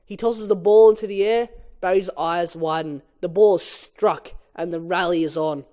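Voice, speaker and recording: A man's voice: high voice, fairly even intonation, normal speed, darkish tone. A young man, an amateur reader working through a film script aloud and chatting casually, with a sweary, exasperated edge.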